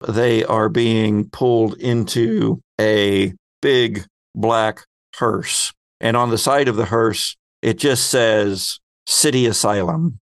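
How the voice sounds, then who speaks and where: A man's voice: lowish voice, fairly even intonation, slow pace, dry recording. A man working as game master, narrating a tabletop roleplaying game in a dramatic storytelling style.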